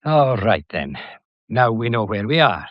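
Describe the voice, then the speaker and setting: An actor playing a genial but firm, shrewd Scottish police inspector in a radio drama, folksy, unhurried and authoritative. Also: male voice, fairly low pitch, very animated, no room sound, highs cut off. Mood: polite, firm.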